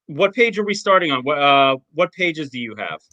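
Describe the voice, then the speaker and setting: A man's voice: fairly high pitch, very animated, moderate pace, bright, forceful tone, no echo, muffled recording. A man, an author guest in an interview, describing his story and chatting casually.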